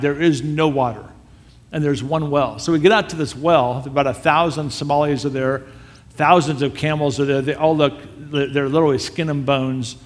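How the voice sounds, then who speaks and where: A man, a missionary, telling a story in a sermon with animated, self-deprecating humor. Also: mid-range voice. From United States. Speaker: missionary speaker. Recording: long hall reverb.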